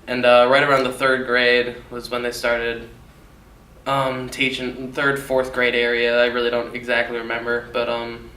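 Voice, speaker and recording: A young man, nineteen, stumbles through his answers with lots of fillers and restarts. His voice is bright and keeps to a flat, narrow pitch. He sounds set back from the mic in an echoey room with faint noise. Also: recorded from a distance. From Michigan, USA. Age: young adult. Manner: halting, many fillers and restarts. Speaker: college student interviewee. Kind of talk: casual interview answers.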